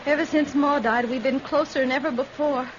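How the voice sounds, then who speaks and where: A woman's voice: high-pitched, fairly even intonation, brisk, close to an everyday voice. An actress playing an anxious, devoted teenage farm girl with a rural Southern American accent in a vintage radio drama. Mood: resentful. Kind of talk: 1940s radio drama acting. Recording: muffled, noisy old radio recording.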